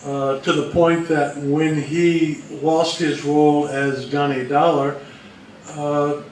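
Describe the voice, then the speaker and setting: A man's voice: fairly high pitch, flat delivery, slow, a little mellow. A man presenting history informally, in a hesitant, matter-of-fact storytelling way. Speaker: co-presenter of a talk on old-time radio history. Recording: echoey room from far off, faint noise.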